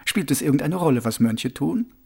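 A man voicing a cool, sharp-tongued young streetwise woman in an audiobook, with a dry, mocking, brisk delivery. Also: on the high side, lively intonation, gravelly, slightly rough, exaggerated voice. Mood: flippant.

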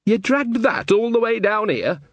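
A man voicing a steady, practical village man in a storybook, speaking firmly in plain rustic grammar. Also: high voice, unhurried, no echo, pitched above the performer's own voice, exaggerated voice. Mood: exasperated. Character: Jason Ogg, steady, practical leader of village men.

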